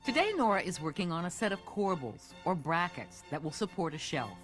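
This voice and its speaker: A narrator reading scripted documentary narration in a smooth, descriptive way. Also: mid-range voice, very animated, a little fast, a bit bright. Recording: narration played over a video with music.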